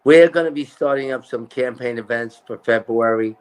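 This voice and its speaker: A man's voice: mid-range voice, normal speed, clear, resonant tone, rough recording. A man talking as a political candidate in a casual interview, emphatic and repeating his points.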